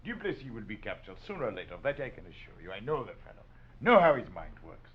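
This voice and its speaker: A self-assured manhunter in an old radio play. His low voice moves quickly, on a dull vintage recording. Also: very animated, husky. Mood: confident. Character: confident, assured male pursuer of a fugitive. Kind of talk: 1940s radio drama acting.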